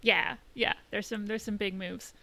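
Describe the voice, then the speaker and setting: A woman's voice: mid-range voice, fairly even intonation, moderate pace, gravelly, bright, forceful tone. A woman co-hosting a casual podcast discussion, explaining things and rephrasing as she goes. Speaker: podcast co-host.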